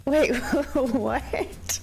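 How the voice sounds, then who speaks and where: A hoarse woman streaming a game, chatting casually with her viewers into a desk microphone. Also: high-pitched, very slow, darkish tone, faint music behind. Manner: chatty, talks to viewers, frequent ums and laughs. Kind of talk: casual livestream commentary.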